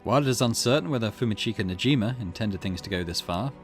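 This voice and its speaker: A grave narrator of a dark visual novel voiced by a man. The delivery is measured and fairly low in pitch. Music sits clearly behind the words. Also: expressive, close to an everyday voice. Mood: solemn. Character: solemn narrator of a dark occult mystery story. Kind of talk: scripted narration read aloud from a visual novel. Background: game music.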